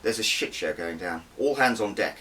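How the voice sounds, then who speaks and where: A man's voice: fairly low pitch, animated, moderate pace, bright, forceful tone, far from the mic, a little hiss or hum. A man voicing a brusque, disapproving intelligence department head in an audiobook, curt and bossy. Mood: brisk, urgent.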